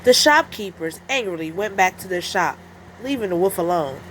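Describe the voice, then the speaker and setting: A woman storyteller narrating a children's story in an expressive, deliberate read-aloud style. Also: on the low side, a little hiss or hum, poor audio.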